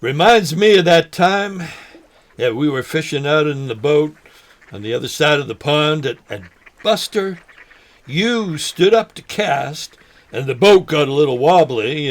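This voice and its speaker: Older male actor as a folksy, halting fisherman, slow pace, highly animated swings, naturalistic drama. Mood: amused, teasing. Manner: halting, folksy, mild exclamations. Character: forgetful old man, folksy, nervous, teasing lifelong fisherman. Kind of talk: naturalistic short film drama acting.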